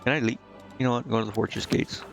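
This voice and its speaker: A man's voice: medium pitch, steady tone, fast talker, vocal fry. A man doing casual live game commentary as a streamer, hesitantly thinking aloud to himself and reading on-screen text out. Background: game music.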